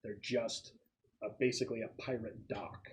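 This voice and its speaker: A man's voice: medium pitch, steady tone, moderate pace. A man acting as game master, explaining a scenario to players in a conversational way.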